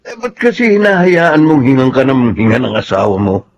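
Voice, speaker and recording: A man's voice: mid-range voice, very animated, moderate pace, dull audio. A man playing a hard-drinking, boastful family man with a Filipino accent in a radio drama. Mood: breezy, cocky.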